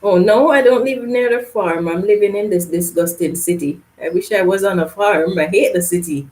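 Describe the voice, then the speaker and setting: A woman's voice: medium pitch, normal speed, a little mellow. A woman hosting a live gardening Q&A from Canada, reading out viewer comments and answering in a chatty way that breaks into laughs. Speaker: gardening channel host. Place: home, live stream.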